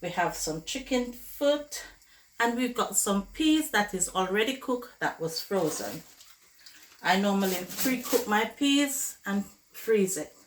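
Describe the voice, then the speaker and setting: Hoarse woman cooking at home. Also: mid-range voice, animated, slow pace, slight background noise. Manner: chatty, addresses viewers as guys. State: hoarse from flu. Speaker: cooking vlogger host. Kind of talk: casual cooking tutorial chat. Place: home kitchen. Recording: echoey kitchen with loud clatter. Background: pots, dishes and running water.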